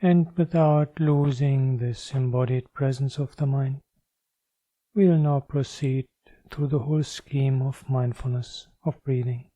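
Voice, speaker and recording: Very dark, mellow-voiced man guiding meditation. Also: mid-range voice, fairly even intonation, no echo, low-quality recording. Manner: measured, deliberate phrasing with pauses. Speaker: meditation teacher. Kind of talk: guided meditation.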